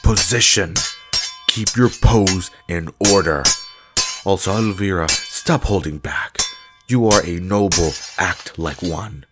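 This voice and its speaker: A man's voice: deep voice, slow, heavy background noise. A man playing a stern, haughty vampire combat master in a roleplay audio drama, formal, blunt and commanding.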